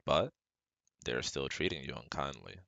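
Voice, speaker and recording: A man's voice: rumbling low, lively intonation, normal speed, vocal fry, pushed and bright, no room sound, full character voice. A young man playing a caring, protective best friend and psychology student in a scripted ASMR roleplay, speaking earnestly and reassuringly to the listener. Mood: reassuring, analytical. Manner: reassuring, earnest, talks directly to a close friend.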